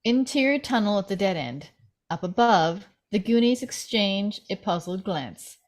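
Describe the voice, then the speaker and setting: Woman narrating screenplay action, medium pitch. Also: lively intonation, a little slow. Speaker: stage-direction reader. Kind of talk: scripted narration at a table read.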